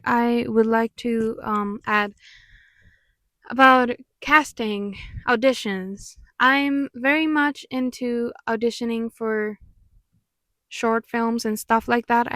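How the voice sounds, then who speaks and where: A woman's voice: fairly high pitch, a bit bright, no echo, studio quality. A young woman from Mexico with a Mexican accent, whose first language is Spanish, giving candid, reflective commentary as a vlogger. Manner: earnest, candid, sometimes exasperated. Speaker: vlogger and pop artist.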